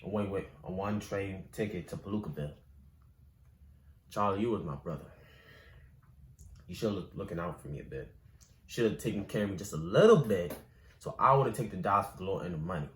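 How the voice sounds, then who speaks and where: A man's voice: low voice, animated, a little fast, pauses often. A man performing a dramatic monologue as a bitter, wounded ex-boxer turned gangster, pleading and reproachful with streetwise phrasing. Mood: hurt, reproachful. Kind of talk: dramatic film monologue acting. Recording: echoey room with background noise.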